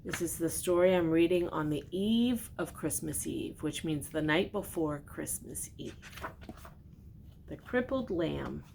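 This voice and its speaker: An older woman reading a children's storybook aloud expressively, pausing for gentle, simply worded explanations. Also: animated, vocal fry. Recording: noticeable background noise with low rumble.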